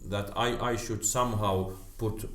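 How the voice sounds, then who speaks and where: A man's voice: lowish voice, fairly even intonation, unhurried, a bit bright. A middle-aged man from Finland chatting casually, stammering and repeating words as he thinks aloud. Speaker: karate teacher. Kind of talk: casual chat. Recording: echoey room.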